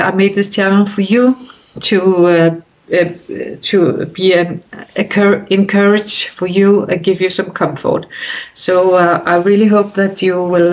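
Slow, hesitant Danish-accented woman in her fifties. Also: medium pitch. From Denmark. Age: 50s. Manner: hesitant, many fillers and restarts. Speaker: vlogger. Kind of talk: casual talk to camera. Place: bedroom indoors.

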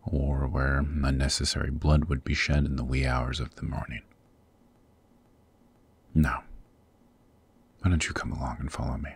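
An extremely low, husky demon king, acted by a man for an ASMR roleplay. He is commanding yet tender, protective and possessive, speaking formally and up close in feel. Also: flat delivery, moderate pace, long pauses, exaggerated voice. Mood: somber. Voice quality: husky, very deep. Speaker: voice actor.

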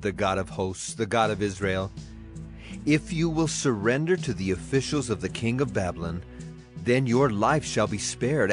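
An actor playing a grave, steadfast ancient prophet, speaking solemnly in a scripture drama. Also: male voice, mid-range voice, close to an everyday voice.

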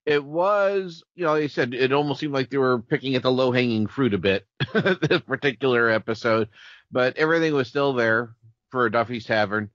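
A man on a podcast panel chatting casually, with an animated, conversational way of telling things. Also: medium pitch, normal speed, no room sound. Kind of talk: casual chat. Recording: dry, slightly dull audio.

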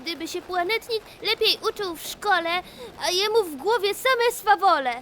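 A woman's voice: extremely high pitch, expressive, moderate pace, fairly bright tone, slight background noise, voice pushed up. A woman voicing a small, timid, fretful girl flower in a rhymed children's storybook tale. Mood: relieved, reproachful. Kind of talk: children's musical storybook acting.

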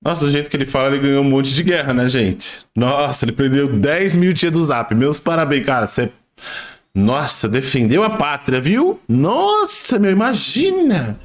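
Sarcastic young streamer with a brazilian accent. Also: male voice, mid-range voice, big pitch swings, moderate pace. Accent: Brazilian Portuguese. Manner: mocking, sarcastic asides. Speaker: streamer reacting to a video. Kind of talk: sarcastic reaction commentary.

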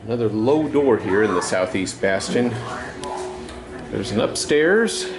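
Slow-talking man touring a fort. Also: fairly low pitch, expressive, noisy background. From California. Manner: slow, easygoing, pointing out exhibits. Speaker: vlog host. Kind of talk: casual travel vlog narration. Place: outdoors at a historic fort and inside its rooms.

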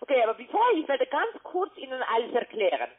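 A woman in giving a telephone sales pitch, often tagging her statements with little questions. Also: very animated, fast talker, husky. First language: not German. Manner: ends statements with questioning tags. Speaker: telemarketing caller. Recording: muffled narrow phone line.

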